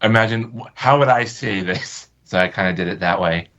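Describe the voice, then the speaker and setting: A man's voice: low-pitched, a little fast, a bit bright. A man in a casual group chat, explaining things in a hesitant, informal way, over dull lo-fi call audio. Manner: hesitant, explanatory, repeats kinda. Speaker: amateur voice actor.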